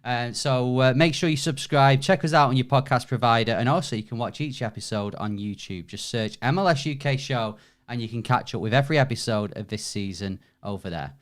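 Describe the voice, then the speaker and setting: A man's voice: medium pitch, fairly quick. A man delivering a recorded promotional message for his soccer podcast in an upbeat manner. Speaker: guest promo host.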